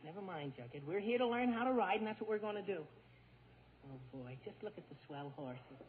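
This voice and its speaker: An eager, impatient teenage boy with a high, very animated voice. He exclaims and sighs in exasperation in 1940s radio comedy style. The recording is a muffled vintage broadcast. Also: a little fast, a little hiss or hum. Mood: excited. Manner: exclamatory, exasperated with his friend.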